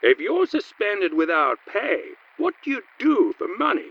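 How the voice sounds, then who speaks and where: A man's voice: high-pitched, very animated, normal speed, vocal fry, highs cut off, full character voice. A man playing a mysterious, prying informant who questions theatrically in a comic noir radio play. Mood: prying, suspicious.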